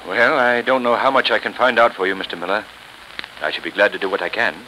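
An actor playing a confident, shrewd gentleman sleuth and secret avenger, measured and dramatic in 1930s radio style. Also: fairly low pitch, steady tone. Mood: assured. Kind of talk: 1930s radio mystery acting. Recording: noisy 1938 radio recording.

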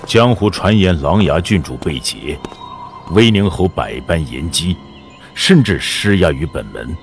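An actor playing a solemn elderly Taoist sect master in a Chinese audiobook drama, speaking gravely and deliberately with authority. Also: male voice, low voice, lively intonation. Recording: background music under the voice.

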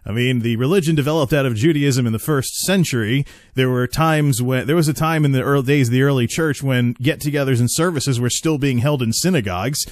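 A man's voice: medium pitch, fairly quick, no room sound, studio quality. A man hosting a casual spoken commentary, talking in a chatty, rambling way with asides and restarts. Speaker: host.

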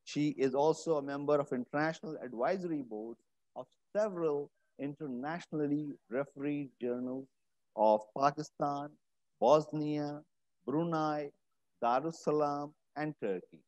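A man's voice: a little high, measured pace, a little mellow, no echo, rough recording. A middle-aged man hosting an interview, reading a guest introduction from paper, then asking questions hesitantly with many fillers. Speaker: host. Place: studio set with armchair.

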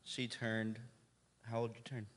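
A man gently introducing children and asking them their birthdays. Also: mid-range voice, completely flat delivery, unhurried. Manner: gentle, prompting. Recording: distant hall with crowd noise.

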